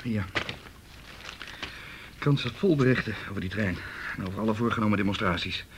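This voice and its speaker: Actor as a low-key, watchful young man. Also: fairly quick, noisy background, natural voice. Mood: uneasy, confiding. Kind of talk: naturalistic Dutch radio drama acting.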